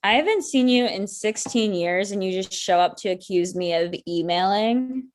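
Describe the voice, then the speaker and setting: A woman's voice: mid-range voice, expressive, moderate pace, natural voice. An actress playing a sardonic, wounded ex-girlfriend in her thirties, with dry, pointed delivery in a comedic table read. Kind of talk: naturalistic comedic dialogue reading.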